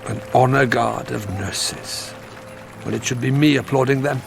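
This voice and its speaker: An actor playing a proud, defiant politician in a documentary drama, with a creaky voice. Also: male voice, mid-range voice, a little slow. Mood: humbly grateful. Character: proud, defiant politician founding the health service. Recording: music behind the voice.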